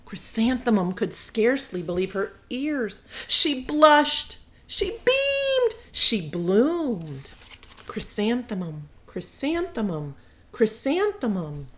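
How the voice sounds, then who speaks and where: A woman reading a children's storybook aloud as a teacher would, expressively phrased with dramatic pauses. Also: fairly high pitch, big pitch swings, slight background noise.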